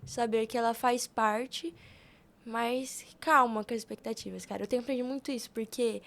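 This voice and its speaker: Young woman, high-pitched, casual podcast guest, earnest, colloquial, motivational, repetitive for emphasis. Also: steady tone, normal speed, gravelly, fairly bright tone. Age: young adult. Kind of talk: casual conversational talk.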